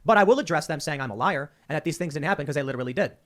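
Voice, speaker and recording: A man in his thirties hosting a casual talk show. He speaks very fast and tumbles into his sentences, often repeating words as he gets going. His voice sits a little high. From Chicago. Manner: rapid, animated, repeats words when starting sentences. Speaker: podcast host.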